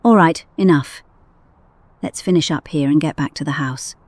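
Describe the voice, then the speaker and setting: A woman playing a brisk medic team leader in an audio drama, firm and dry-witted. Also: sits low, no echo.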